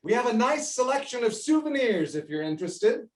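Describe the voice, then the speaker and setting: A woman's voice: on the high side, very animated, a little fast, a little mellow. A woman acting a folksy, salesy and bossy gold-mine tour host in a stage comedy, with showy patter, heard in an echoey room. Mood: chirpy, salesy. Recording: echoey room, far from mic.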